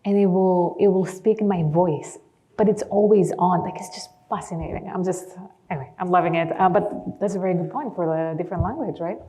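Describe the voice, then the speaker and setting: Enthusiastic Greek-accented woman, mellow tone. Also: fairly low pitch, fairly quick. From Greece. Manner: enthusiastic, with frequent fillers. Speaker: panelist. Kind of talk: panel discussion.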